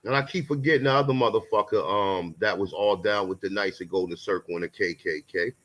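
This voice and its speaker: A man's voice: lowish voice, brisk, a bit bright. A man co-hosting a stream, ranting angrily and swearing heavily. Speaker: co-host. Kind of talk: heated profane commentary.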